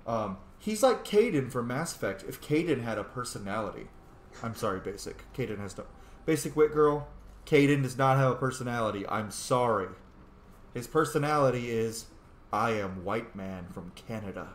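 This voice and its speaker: A man's voice: mid-range voice, animated, moderate pace. A man giving casual, playful and opinionated commentary while roleplaying through a video game, swearing freely. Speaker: game streamer. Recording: roomy echo, clear background noise.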